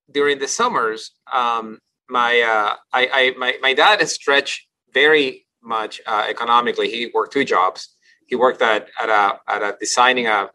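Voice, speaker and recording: Bright-voiced man from Ecuador. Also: medium pitch, low-quality recording. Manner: reflective, many restarts and repeated words. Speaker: guest, company CEO. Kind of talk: casual interview storytelling.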